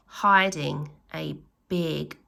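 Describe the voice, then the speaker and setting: Slow, expressive woman reading to children. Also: deep voice, gravelly. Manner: expressive, with dramatic emphasis and exclamations; pauses to address young listeners with questions. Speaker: teacher reading a storybook to children. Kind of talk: animated storytelling read-aloud for young children.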